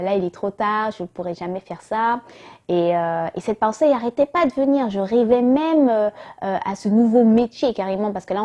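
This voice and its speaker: Mellow-voiced woman, hesitant testimony. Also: medium pitch. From Martinique. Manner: hesitant, many fillers, acts out her own past thoughts. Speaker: vlogger giving a testimony. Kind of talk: casual spoken testimony.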